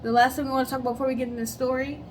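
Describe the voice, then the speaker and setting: A woman's voice: sits high, flat delivery, rapid-fire, slight background noise, low-quality recording. A woman co-hosting a casual chat and storytelling show in an upbeat, friendly way. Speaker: co-host.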